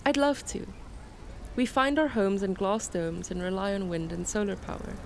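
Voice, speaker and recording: A woman's voice: medium pitch, expressive, normal speed, creaky. Woman playing a kind townswoman, noisy ambience. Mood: welcoming. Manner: gentle, welcoming. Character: kind, welcoming townswoman of a peaceful eco-city. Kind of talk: radio drama dialogue and storytelling. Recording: noisy outdoor ambience behind the voice.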